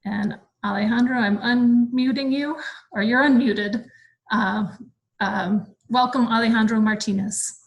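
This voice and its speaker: A woman moderating a webinar, relaxed and filling pauses with ums and uhs. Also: on the high side, vocal fry, low-quality recording. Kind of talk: casual moderating.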